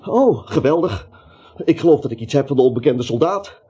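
A timid, frightened little man in a radio play, stammering and rambling to keep his nerve. His mellow voice swings widely up and down, on a dull recording with faint hiss. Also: mid-range voice, lively intonation, fairly quick, slightly rough. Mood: nervous chatter. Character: anxious, timid little man, a cowardly reluctant vigilante.

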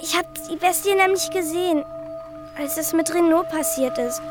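A woman's voice: normal speed, music in the background. An earnest little girl. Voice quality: high childlike voice. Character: young girl telling scary stories, earnest.

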